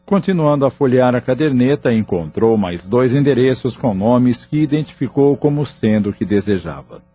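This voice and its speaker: A man's voice: medium pitch, expressive, normal speed, husky. A man reading scripted narration for an audio novel as its narrator. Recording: muffled lo-fi audio, background music.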